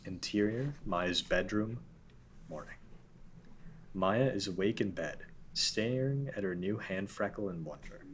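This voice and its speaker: A man reading the scene directions of an animated pilot script at a table read. His voice is very low, and his delivery is steady and matter-of-fact. A faint background hiss runs under him. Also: a little slow. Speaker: narrator reading stage directions.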